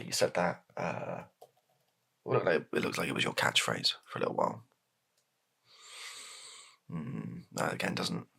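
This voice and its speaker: A man playing a flustered, placating political advisor in an improvised ASMR comedy roleplay, speaking softly in a hesitant, stammering, deadpan way. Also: extremely low pitch, full character voice. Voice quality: soft-spoken, gravelly, breathy. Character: flustered, placating male political spin doctor advising his boss.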